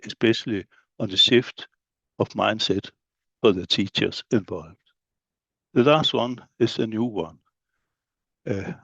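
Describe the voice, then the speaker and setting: A middle-aged man with a Danish accent and Danish as his first language, giving a measured conference presentation as a school head of studies, laying out points one by one with occasional fillers. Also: medium pitch, vocal fry, dry recording, dull audio. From Denmark. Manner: measured, list-like delivery with fillers.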